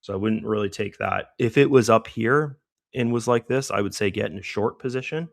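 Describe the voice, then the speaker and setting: A middle-aged man hosting a live trading stream, hoarse and losing his voice, who rambles casually through market commentary with many fillers. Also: on the low side, a little fast, no echo, studio quality. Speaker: trading livestream host.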